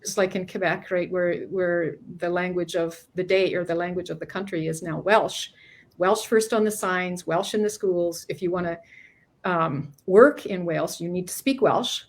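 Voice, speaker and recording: A woman's voice: on the low side, fairly even intonation, moderate pace. A middle-aged woman from Canada, a guest author chatting casually in an interview, often restarting sentences and laughing between thoughts.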